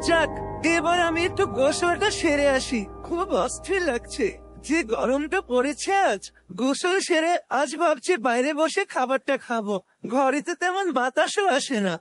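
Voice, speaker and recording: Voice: high-pitched, animated, normal speed, fairly bright tone, soft background music. A performer voicing a humble, long-suffering poor young village man in a cartoon, self-effacing and often musing to himself. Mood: weary. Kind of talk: dramatic cartoon dubbing.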